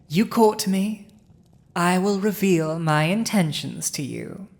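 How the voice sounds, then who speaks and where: A woman playing a villainous dragon ruler in an intimate audio roleplay, speaking in a deliberate, dominant, teasing manner. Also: medium pitch. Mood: amused, sardonic.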